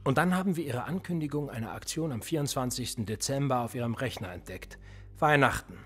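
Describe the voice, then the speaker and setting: A man's voice: mid-range voice, brisk, slightly rough, faint music behind. An actor playing a cool, cynical male police interrogator in a radio drama, smug and needling. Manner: smug, needling, lively intonation.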